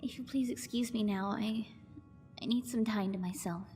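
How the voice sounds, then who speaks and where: A woman voicing a troubled, grieving settler in a video game, upset and halting. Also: fairly high pitch, mellow, faint music behind, lightly acted. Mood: shocked, grieving. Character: shocked, grieving woman settler, quest giver. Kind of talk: video game character dialogue.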